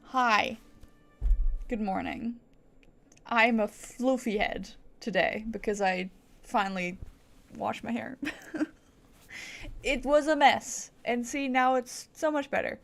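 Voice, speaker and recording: Giggly woman chatting on stream, unhurried. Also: fairly high pitch, vocal fry, fairly bright tone. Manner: giggly, playful, rambling. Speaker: video game livestreamer. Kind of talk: casual livestream chat with viewers while gaming.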